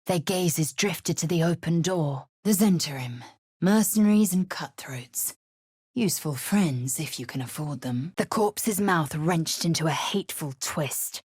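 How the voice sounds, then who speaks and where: An actress playing a fierce, haughty young githyanki warrior woman in a video game, with a husky, raspy voice and a clipped, imperious, disdainful delivery. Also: deep voice, unhurried, darkish tone, no room sound, studio quality. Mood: stern, disdainful. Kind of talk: scripted video game voice lines and narration.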